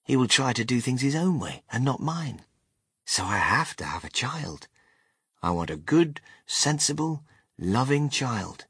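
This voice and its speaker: A man's voice: mid-range voice, fairly bright tone, breathy edge, no echo, clean studio sound, voice pushed up, full character voice. A man voicing an eccentric, exuberant older chocolate factory owner in a storybook, with theatrical, emphatic delivery. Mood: wistful, confiding. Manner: theatrical, emphatic, with sudden swoops.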